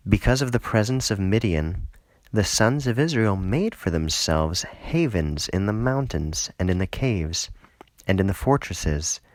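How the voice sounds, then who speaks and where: Slow-paced man reading scripture. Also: deep voice, dry recording, studio quality. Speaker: audiobook reader.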